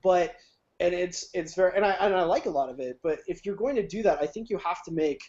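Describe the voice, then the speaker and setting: A man with a high-pitched voice chats in a rambling way and keeps restarting his sentences. He laughs easily. The podcast audio is dull and lo-fi. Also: fairly quick, a little mellow. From Ohio. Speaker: podcast guest. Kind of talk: casual chat.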